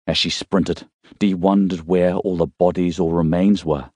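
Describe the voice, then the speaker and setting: A man reading a thriller novel as an audiobook narrator, in a measured, suspenseful storytelling way. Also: low voice, flat delivery, dark tone, no echo.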